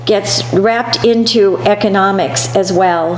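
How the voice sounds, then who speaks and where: A woman's voice: medium pitch, fairly even intonation, slow. A middle-aged or older woman with an American accent, chatting casually as an interviewer. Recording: echoey room with faint hum.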